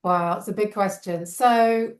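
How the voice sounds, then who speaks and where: A woman's voice: mid-range voice, steady tone, measured pace, echoey room. A woman in her fifties from England with a London accent touched by a Northern edge, a charity guest talking thoughtfully in long reflective runs. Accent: London English with a slight Northern edge. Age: 50s. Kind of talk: reflective interview talk.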